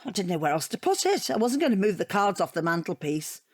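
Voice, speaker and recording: An actress playing a chatty, defensive middle-aged British divorcee in an audio comedy drama, rambling and self-justifying with a wry edge. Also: female voice, mid-range voice, big pitch swings, fast, no echo, clean studio sound. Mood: wry, reminiscing.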